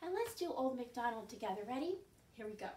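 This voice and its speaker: An older woman hosting storytime for young children, speaking in an animated, clearly enunciated way and asking them questions. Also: a little high, quick pace. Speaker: children's storytime host at a library. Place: living room at home. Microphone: camera a few feet away. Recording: roomy sound, distant microphone.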